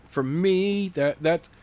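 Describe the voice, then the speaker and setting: A man's voice: on the high side, a little mellow, no echo. A man co-hosting a casual podcast, talking in a blunt, teasing way. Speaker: podcast co-host. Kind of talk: casual chat.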